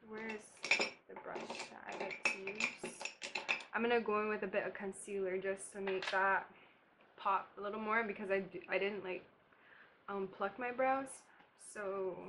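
A woman's voice: medium pitch, steady tone, unhurried, pauses often, noisy background. Chatty young woman from Barbados. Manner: chatty, casual, laughing asides while applying makeup. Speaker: beauty vlogger. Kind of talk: chatty makeup tutorial. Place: bedroom, indoors.

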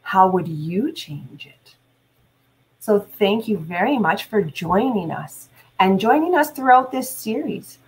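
Slow-paced woman teacher. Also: medium pitch, low-quality recording. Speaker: teacher and read-aloud host. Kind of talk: read-aloud and instructional talk to children. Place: small indoor room at home.

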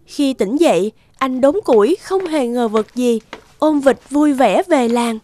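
A woman's voice: high voice, expressive, measured pace, dark tone, slight background noise. A woman narrator reading a scripted storybook tale for a cartoon.

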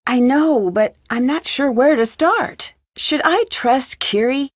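A woman's voice: high-pitched, takes it slowly, no echo, studio quality. A woman playing an inquisitive, level-headed young female detective in a video game, with an earnest, probing way of asking questions. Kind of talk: video game voice acting, isolated dialogue lines.

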